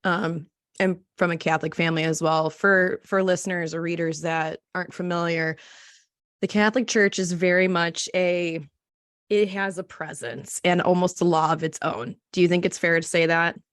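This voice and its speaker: A woman's voice: lowish voice, steady tone, normal speed, a bit bright, no room sound, clean studio sound. A woman hosting an interview podcast, talking conversationally and informally as she sets up questions and checks her understanding. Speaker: podcast host interviewing a journalist.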